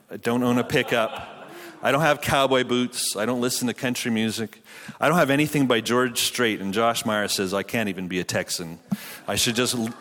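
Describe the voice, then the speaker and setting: A man's voice: fairly low pitch, a bit bright. A man with a Canadian English accent, a pastor giving a conversational, informal sermon with a joking, self-deprecating manner. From Quebec, Canada. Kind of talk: conversational sermon to a live audience. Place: large hall, speaking to an audience. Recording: long hall reverb.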